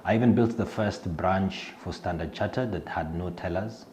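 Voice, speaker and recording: A man's voice: low-pitched, normal speed, darkish tone, slight background noise. A middle-aged Kenyan man with a Kenyan accent, introducing himself in an interview, hesitant with many fillers and restarts. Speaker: fintech executive.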